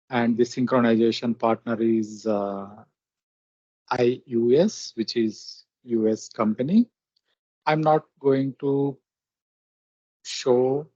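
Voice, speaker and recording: Slow-talking man, dull lo-fi sound. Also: mid-range voice, warm tone, no room sound. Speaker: software tutorial presenter. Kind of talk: step-by-step screen demonstration narration. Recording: dull, lo-fi close microphone recording.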